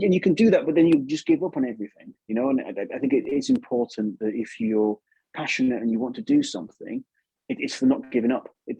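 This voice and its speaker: A man's voice: mid-range voice, gravelly, mellow, breathy edge, no echo, dull audio. A man giving casual advice as an interview guest, chatty and repeating himself for emphasis. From England.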